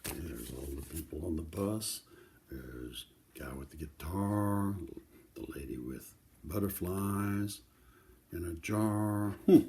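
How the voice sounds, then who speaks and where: A man's voice: low-pitched, animated, slow, warm tone. An older man reads a children's book aloud, breaking off for folksy, casual asides that explain the pictures to young listeners.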